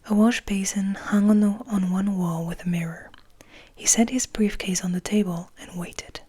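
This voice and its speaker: A woman whispering softly and slowly as an ASMR host, in a hushed manner with gentle pauses. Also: mid-range voice, fairly even intonation, husky. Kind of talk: whispered, soft-spoken ASMR. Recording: noticeable background noise.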